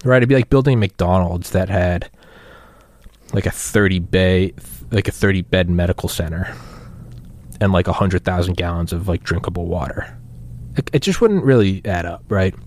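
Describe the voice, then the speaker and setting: A man's voice: deep voice, normal speed, a little mellow. A man hosting a podcast alone, rambling through a casual, slangy rant with some swearing. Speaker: podcast host. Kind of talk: casual solo rant monologue.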